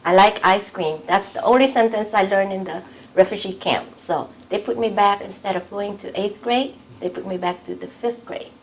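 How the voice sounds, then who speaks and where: A woman's voice: medium pitch, normal speed, few pauses, a little hiss or hum, low-quality recording. A woman in her late forties from South Vietnam, a native Vietnamese speaker with a Vietnamese accent, recounting personal memories in an interview. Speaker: oral history interviewee.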